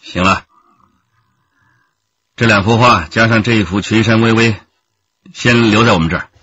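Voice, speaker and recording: An actor playing a wily, taunting senior detective in a radio drama, needling with leading questions. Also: male voice, on the low side, normal speed, sharp tone, slightly rough, no echo, dull audio. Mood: brisk, commanding. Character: wily, taunting male police detective in command. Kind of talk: Chinese radio drama acting.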